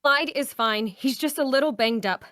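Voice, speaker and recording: A woman playing a brisk, reassuring healer in a fantasy audio drama table read. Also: high voice, fairly bright tone, dry recording, studio quality. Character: brisk, matter-of-fact female healer adventurer.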